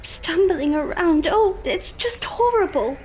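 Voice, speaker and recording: A very high, slow woman's voice playing a distressed mother in a Victorian audio drama. She sounds fragile and tremulous, faltering in horror, with faint ambient noise behind her. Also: animated, darkish tone, full character voice, low-quality recording. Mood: horrified, shaken.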